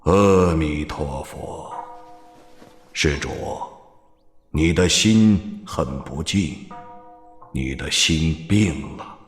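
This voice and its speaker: A solemn old Buddhist abbot, speaking very slowly in a deep voice. His formal words echo, quietly ominous, with faint music behind. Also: full character voice. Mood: calm, grave. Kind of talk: audio drama acting. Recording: long echoing reverb, music behind.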